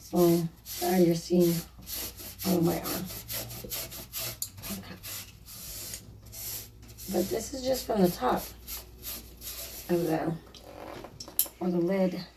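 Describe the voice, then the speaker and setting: Slow, raspy, gravelly woman talking. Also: fairly low pitch, recorded from a distance, noisy background. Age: adult, a mother. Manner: chatty, apologetic, rambling with fillers. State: slightly hoarse. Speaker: DIY vlogger. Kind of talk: casual how-to narration while painting.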